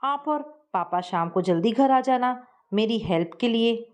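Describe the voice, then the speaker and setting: A woman's voice: on the high side, lively intonation, unhurried. A woman voicing a cheerful, frank young daughter-in-law in a family story, speaking in a chirpy, plain-spoken way.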